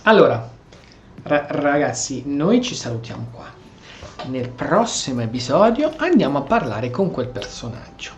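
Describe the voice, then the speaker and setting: An Italian man hosting a gameplay video in a casual, playful way. His pitch moves a lot as he reacts aloud, with soft game music underneath. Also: big pitch swings, normal speed.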